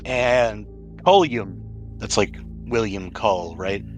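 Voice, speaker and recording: A man's voice: lowish voice, slow. A man acting as game master, narrating a tabletop role-playing game in a casual, joking, slangy way. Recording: music underneath. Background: background music.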